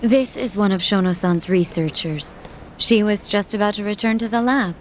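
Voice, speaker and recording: A woman's voice: medium pitch, normal speed, a little hiss or hum, close to an everyday voice. A woman acting in a game dub as a polite, helpful receptionist with a slightly hesitant, courteous manner. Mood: polite, pleased.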